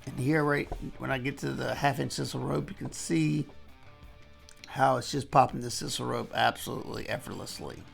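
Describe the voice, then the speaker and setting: A man hoarse from losing his voice, casually talking through a knife review while he demonstrates cuts. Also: mid-range voice, normal speed, faint music behind. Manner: relaxed, folksy, talks while demonstrating cuts. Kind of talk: casual hands-on product review.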